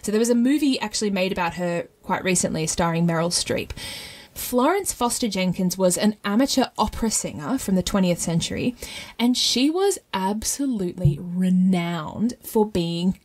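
A woman hosting a podcast, explaining things in a casual, animated storytelling way with frequent rhetorical check-ins. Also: mid-range voice, normal speed. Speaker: podcast host.

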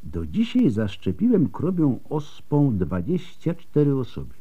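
A man's voice: mid-range voice, huge pitch swings, a little slow, warm tone, breathy edge, highs cut off, exaggerated voice. An actor playing a shrewd, skeptical old male doctor in a radio drama. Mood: thoughtful, measured.

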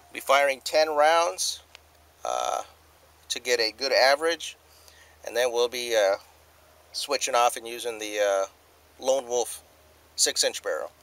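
A man's voice: medium pitch, a little slow, pauses often, a bit bright. A middle-aged man casually explaining a test outdoors in open desert, talking plainly and stopping often on "uh" fillers, recorded on the camera mic. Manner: hesitant, many "uh" fillers, plain and matter-of-fact. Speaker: firearms video host. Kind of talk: casual hobby demonstration.

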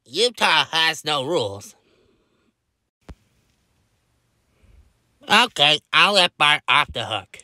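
Man playing comic cartoon townsfolk. Very bright, forceful tone, swooping pitch, exaggerated small-town characters. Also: sing-song, measured pace, no room sound, exaggerated voice. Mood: gruff. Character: cartoon townsmen: chirpy neighbor, gruff bartender, police dad. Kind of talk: fan-made cartoon voice acting.

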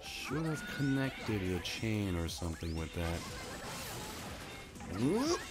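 A man's voice: lowish voice, big pitch swings, slow, loud music behind the voice. A young man whose first language is Japanese, chatting casually and mocking himself while he plays a puzzle game for his channel. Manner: rambling, self-mocking, chatty. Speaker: gaming channel host. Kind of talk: casual gameplay commentary.

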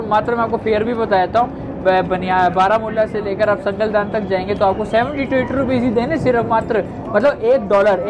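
A young man filming a travel vlog outdoors on a snowy train platform and inside a train, chatting excitedly with his viewers. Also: high voice, background noise. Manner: excited, chatty, keeps calling viewers brother. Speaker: travel vlogger. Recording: background music mixed under the voice. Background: music.

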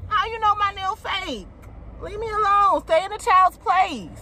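A woman in her thirties telling a story to her phone camera from a parked car, animated and swearing freely, shrieking when she acts out scenes. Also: very high-pitched, unhurried. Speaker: vlogger. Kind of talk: casual storytelling. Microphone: phone camera on dashboard. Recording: loud car and road noise. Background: car and traffic noise.

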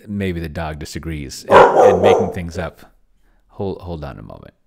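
Middle-aged male streamer, low voice, casual rambling commentary over a solo role-playing session. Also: lively intonation, normal speed, breathy edge. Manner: rambling, thinking aloud. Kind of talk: casual livestream commentary while typing. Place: small cluttered home room, indoors.

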